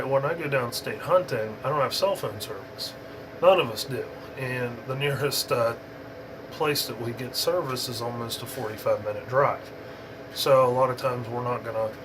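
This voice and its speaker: A man giving a casual, unscripted explainer in a halting, rambling, informal way. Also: mid-range voice, noisy background. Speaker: amateur radio hobbyist giving an introduction.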